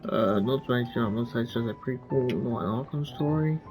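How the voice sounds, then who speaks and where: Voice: medium pitch, fast. A gamer giving casual, offhand commentary on their own playthrough, rambling and muttering to themself. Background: game music.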